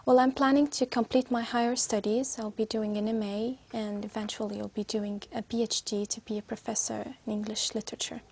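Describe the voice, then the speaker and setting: Young Syrian woman, gravelly creak, flat pitch. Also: fairly quick, hardly any pauses. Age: young adult. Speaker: student being interviewed as a speaking test candidate. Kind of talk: spoken exam answers.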